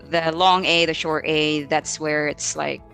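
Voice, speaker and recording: A woman's voice: sits low, fairly even intonation, measured pace, creaky, fairly bright tone, soft background music. A woman with a Filipino accent giving informal live teaching advice, thinking aloud and searching for words as she explains with spoken examples. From Philippines. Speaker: English teacher hosting a live Q&A.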